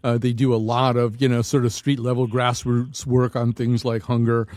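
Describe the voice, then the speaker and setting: A man's voice: mid-range voice, little pitch movement, normal speed, darkish tone, dry recording. A man hosting a call-in radio show, talking casually with many fillers and restarts. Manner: rambling, frequent fillers and restarts. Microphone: studio microphone.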